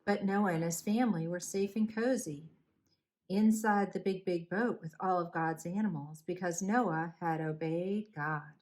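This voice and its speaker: A woman narrating a bedtime story for young children, expressively and deliberately, with dramatic emphasis. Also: fairly low pitch, a little mellow, poor audio.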